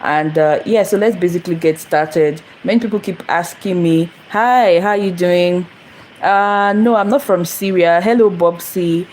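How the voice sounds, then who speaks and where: A woman's voice: lowish voice, measured pace, darkish tone, a little hiss or hum, rough recording. A young woman from Nigeria hosting a casual phone livestream, rambling and repeating herself as she greets viewers. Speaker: channel host. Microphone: phone camera.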